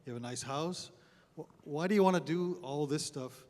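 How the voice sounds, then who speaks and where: A man hosting an informal on-stage interview, speaking in a somewhat halting way with repeated words. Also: a little high, darkish tone. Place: on stage in a concert hall. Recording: reverberant concert hall.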